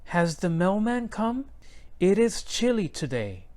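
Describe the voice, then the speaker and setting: A man voicing a kind, patient grandmother learning new words in a storybook, speaking slowly and carefully. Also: high-pitched, big pitch swings, a little mellow, voice pushed up. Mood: gentle. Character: kind grandmother, older woman, gentle and patient.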